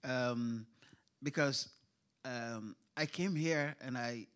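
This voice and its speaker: Panel speaker, man, thoughtful halting delivery, lots of ums, live event stage. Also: mid-range voice, a bit bright, smooth. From grew up in Kuwait. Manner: hesitant, many fillers and restarts. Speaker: writer on a literature panel. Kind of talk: panel discussion.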